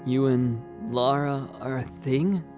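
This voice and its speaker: A man's voice: mid-range voice, slow pace, faint music behind, natural voice. A man voicing an earnest, lovestruck teenage boy who blurts out his words in a story reading. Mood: petulant, confused.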